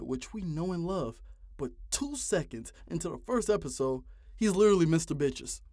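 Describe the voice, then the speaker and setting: A man's voice: a little high, a little mellow, dry recording, studio quality. A man in his twenties narrating a ranting video essay, exasperated and profane, with heavy emphasis. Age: 20s.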